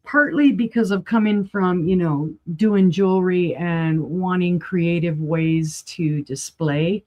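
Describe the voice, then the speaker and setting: A woman running a live auction sale, chatty and enthusiastic, with a voice that is going hoarse. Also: fairly low pitch, slow pace, a little mellow. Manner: chatty, enthusiastic, rambling asides. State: losing her voice, clearing throat.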